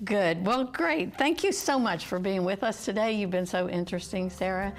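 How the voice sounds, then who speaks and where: A woman's voice: medium pitch, moderate pace. A woman from West Virginia hosting a health interview show, encouraging her guests and complimenting them as she asks follow-up questions. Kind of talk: friendly interview.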